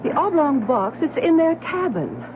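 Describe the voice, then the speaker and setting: An actress playing an observant, suspicious wife in a vintage radio drama, with a probing, pointed, theatrical manner. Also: female voice, high-pitched, big pitch swings, normal speed, noisy background. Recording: tinny vintage radio recording, music behind.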